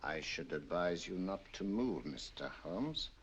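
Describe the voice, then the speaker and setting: A man playing a menacing henchman in an old radio drama, slow and threatening. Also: sits low, expressive, husky, heavily acted. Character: menacing male henchman, gruff and low. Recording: dull old radio audio, heard from off-mic.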